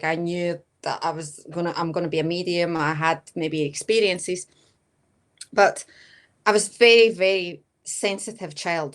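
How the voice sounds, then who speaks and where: A woman in her thirties from Latvia with a Latvian accent tinged with Scottish, answering interview questions hesitantly with many fillers. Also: on the low side. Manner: hesitant, many fillers, often says kinda. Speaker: guest medium.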